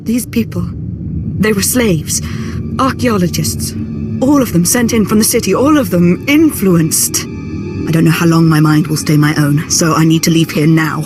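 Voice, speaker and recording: An actress playing a tormented young Sith woman in a video game, intense, halting and struggling for control of her mind. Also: fairly low pitch, natural voice. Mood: strained, resolute. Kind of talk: dramatic video game voice acting. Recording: music behind the voice.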